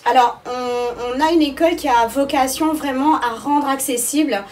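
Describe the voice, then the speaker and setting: Woman school director explaining stuff in an interview, highish voice, bit hesitant, mic a little far off. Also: normal speed, a little mellow.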